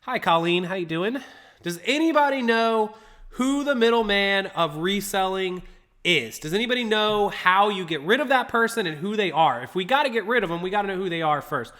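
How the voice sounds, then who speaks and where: A man's voice: sits high, lively intonation, moderate pace, pushed and bright. A man hosting a live stream, chatty and upbeat, greeting viewers by name and sounding a little congested from a stuffy nose. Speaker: livestream host. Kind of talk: casual live-stream talk to chat.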